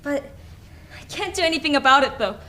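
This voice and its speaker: Casting: young actress as frayed, pleading student daughter; high voice, roomy stage sound. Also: female voice, expressive, brisk, sharp tone. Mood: torn, resigned. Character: weary, exasperated college-age daughter caring for her mother. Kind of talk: student stage play acting.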